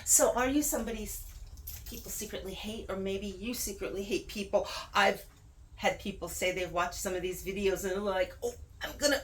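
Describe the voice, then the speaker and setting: A woman's voice: medium pitch, brisk. A woman speaking as a video host, giving casual, emphatic advice straight to the viewer and repeating words for stress.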